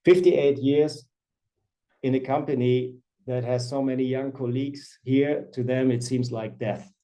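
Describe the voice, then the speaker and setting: A man in his fifties, a company CFO, presenting quarterly results on an earnings call in a measured, formal way. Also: mid-range voice, steady tone, mellow, rough recording. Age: 50s. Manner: measured, formal reading of financial figures.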